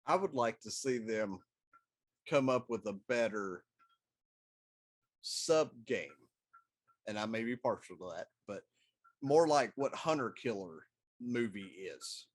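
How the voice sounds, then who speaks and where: A man's voice: medium pitch, lively intonation, takes it slowly, pauses often. A middle-aged man talking casually as a talk show co-host, meandering through his opinions. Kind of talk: casual chat.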